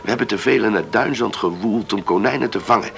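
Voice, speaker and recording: An actor in a Dutch radio play playing an earnest, sober island farmer. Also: male voice, on the low side, fast, creaky, a bit bright, a little hiss or hum. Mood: grave, regretful. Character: earnest, plain island farmer, husband, concerned.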